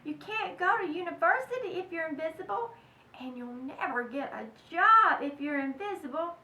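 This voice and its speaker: A woman voicing an excitable, anxious storybook mother who scolds and exclaims dramatically. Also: very high voice, moderate pace, full character voice. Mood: alarmed. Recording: echoey room, distant mic, faint noise.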